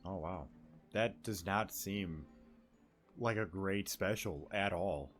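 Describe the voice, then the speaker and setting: A man's voice: deep voice, takes it slowly. A man streaming a game, reacting in casual off-the-cuff commentary, with game music behind his voice.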